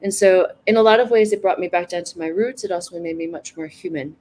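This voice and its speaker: A woman in her forties, a guest in a casual online conversation, talking in a thoughtful, soft-spoken way and laughing easily. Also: on the low side, fast talker. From United States.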